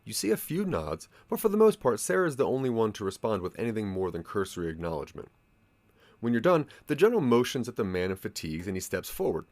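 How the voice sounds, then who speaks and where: A man's voice: fairly low pitch, big pitch swings, quick pace, darkish tone. A man narrating a scripted audio drama story, addressing the listener as its main character. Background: music.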